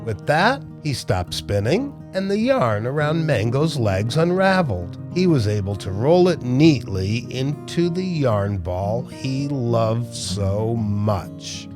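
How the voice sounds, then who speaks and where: A man's voice: mid-range voice, big pitch swings, slow pace, few pauses. A man giving a scripted read-aloud narration for children. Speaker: storybook narrator. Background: plucked guitar music.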